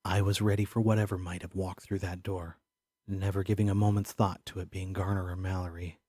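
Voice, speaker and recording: An actor playing a haunted, paranoid man who narrates his own mystery in an audio drama, brooding and deliberate, with a husky voice. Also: sits low, level tone, dark tone, no room sound, clean studio sound. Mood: brooding, tense.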